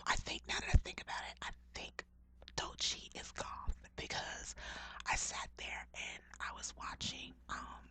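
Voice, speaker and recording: A woman's voice: extremely high pitch, pushed and bright. A young woman whispering a rambling, slangy chat about her pet frogs, swearing casually and filling her talk with "like". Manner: swears casually, laughs at herself. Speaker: vlogger.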